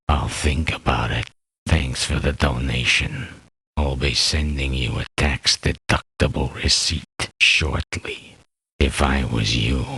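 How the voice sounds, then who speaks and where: A man playing a cold, contemptuous, trigger-happy mercenary in a video game, with a raspy, whispery growl and slangy speech. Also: very deep voice, a bit bright, dry recording, full character voice. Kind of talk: video game character voice acting.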